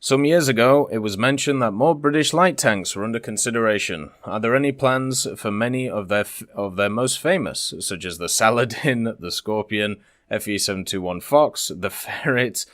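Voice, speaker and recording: Low-voiced man doing casual gaming commentary. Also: fairly bright tone, dry recording, studio quality. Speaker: gaming channel host and commentator. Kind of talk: casual video commentary, reading and discussing developer Q&A.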